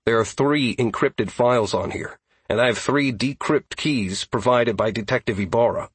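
A man playing a wry, unflappable male character in audiobook dialogue, speaking in a measured, conversational, husky voice. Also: mid-range voice, dry recording, lightly acted. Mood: matter-of-fact.

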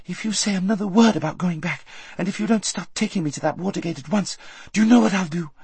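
A man's voice: high voice, measured pace, pushed and bright, slightly rough, no room sound, pitched above the performer's own voice, exaggerated voice. A man voicing a determined young woman who speaks urgently and commandingly.

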